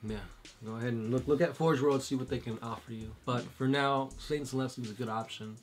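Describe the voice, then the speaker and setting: A man's voice: mid-range voice, fairly even intonation, a little fast, faint music behind. A man co-hosting a casual chat and reading viewer questions, easygoing and thinking aloud with uhs.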